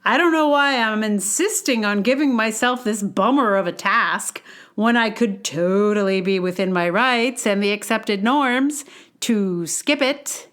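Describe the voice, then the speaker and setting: A woman's voice: mid-range voice, unhurried, a bit bright. A woman hosting her own podcast, sharing personal reflections in a conversational, wry and self-deprecating way. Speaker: podcast host and audio drama producer. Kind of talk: personal essay read aloud, then casual aside.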